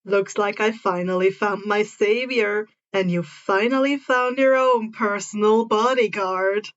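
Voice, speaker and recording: A woman's voice: mid-range voice, steady tone, unhurried, dull audio, pitched below the performer's own voice, lightly acted. A woman voicing a cocky, easygoing young male hero with a breezy, teasing manner. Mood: smug, teasing.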